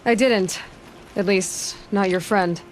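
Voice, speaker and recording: A woman's voice: mid-range voice, takes it slowly, slight background noise, lightly acted. Plain-spoken young huntress played by a woman. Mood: businesslike. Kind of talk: video game dialogue acting.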